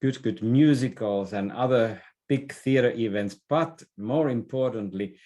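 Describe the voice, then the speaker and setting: Slow-paced older man. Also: medium pitch, dark tone. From Finland. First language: Finnish. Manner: thoughtful, repeats words as he searches for phrasing. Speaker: interview guest, business leader and former theatre director. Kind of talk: conversational interview answers.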